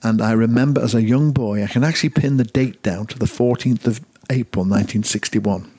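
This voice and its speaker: A man's voice: medium pitch, fairly quick, dark tone, husky, no room sound. A man in his sixties from Liverpool, telling long stories as a podcast guest and correcting himself as he goes. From Liverpool, England. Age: 60s. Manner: rambling, self-correcting, fond of detail. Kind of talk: relaxed storytelling interview.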